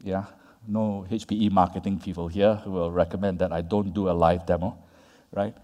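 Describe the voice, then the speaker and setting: A man's voice: sits low, little pitch movement, normal speed, warm tone. A man giving a conference lecture, talking to the audience in a conversational way and tagging his points with "right?" and "yeah?". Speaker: conference speaker. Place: conference hall. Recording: long reverb of a large hall.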